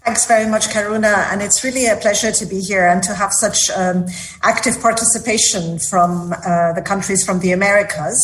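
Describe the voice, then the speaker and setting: A woman department director giving a measured formal introduction at a meeting, with frequent fillers. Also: medium pitch, fairly even intonation, fairly bright tone, low-quality recording.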